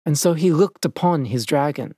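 A man reading scripted documentary narration as a measured, dramatic storyteller. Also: on the high side, mellow, breathy edge, no room sound, studio quality. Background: faint music.

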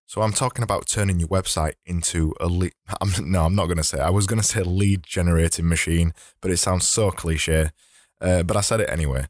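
A man hosting a casual solo podcast, his voice slightly hoarse and fading, rambling and correcting himself as he talks. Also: low voice, steady tone, a little fast, dry recording, clean studio sound. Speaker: podcast host.

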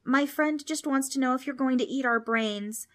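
A woman's voice: sits high, normal speed, fairly bright tone, voice pushed up. A woman voicing a polite, talkative, apologetic young boy in a children's storybook. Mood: apologetic, explaining.

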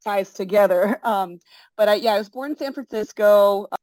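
A middle-aged woman who grew up in Brazil speaks both Portuguese and English. As an interview guest she rambles, full of fillers and restarts. Her voice sits at an ordinary pitch, and the recording is dry with no room sound. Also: normal speed, a little mellow, dry recording, rough recording. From born in the United States, raised in Brazil. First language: bilingual in Portuguese and English. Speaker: interview guest, forest school founder. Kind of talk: casual interview conversation.

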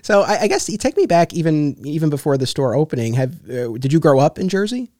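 A man's voice: a little high, sing-song, brisk, darkish tone, no echo, clean studio sound. A man hosting a podcast, reading sponsor spots and then interviewing a guest in an attentive, friendly way. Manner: friendly, attentive, clear presenter. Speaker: podcast host. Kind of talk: read ads then casual interview.

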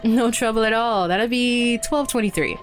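A woman's voice: fairly high pitch, normal speed, soft background music, close to an everyday voice. A woman playing a friendly, easygoing cafe worker in a fiction podcast, with a casual, chatty manner. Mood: friendly, helpful. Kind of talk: naturalistic fiction podcast acting.

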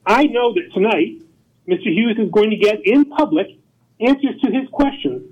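A man's voice: high-pitched, expressive, slightly rough. Formal male official in a dull lo-fi recording. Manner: measured, formal. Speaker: township supervisor. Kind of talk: formal statement at a public meeting.

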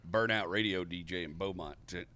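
A comedian guest with a big voice, speaking bluntly with swearing in a casual chat. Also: male voice, low voice, brisk, pushed and bright, clear, resonant tone. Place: podcast studio.

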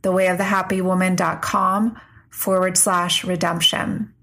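A woman hosting a podcast, speaking a little stuffed up from a cold, earnest and slow on her emphatic phrases. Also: on the low side, level tone, pushed and bright. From United States. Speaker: podcast host. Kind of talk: podcast intro monologue.

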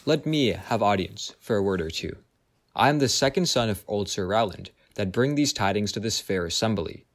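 Man playing an earnest, lower-voiced young nobleman. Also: animated, moderate pace, lightly acted. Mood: earnest, formal. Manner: formal, declamatory. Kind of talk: Shakespearean radio play acting.

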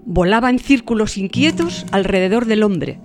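A woman's voice: mid-range voice, lively intonation, normal speed, darkish tone. A woman narrator reading a scripted literary audio story. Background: music.

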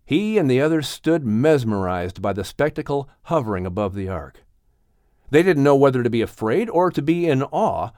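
A man narrating an audiobook story in an expressive, dramatic storytelling manner. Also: mid-range voice, no room sound, clean studio sound.

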